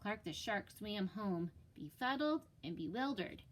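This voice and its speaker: A woman teacher reading a children's storybook aloud in an expressive way, with deliberate emphasis. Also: mid-range voice.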